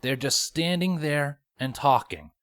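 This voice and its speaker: Wisecracking pony sergeant, played by a male reader: pitched up, exasperated, sarcastic complainer in a fantasy story. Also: animated, a little slow, a bit bright, dry recording, studio quality. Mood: exasperated, defensive. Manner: sarcastic, whiny, emphatic.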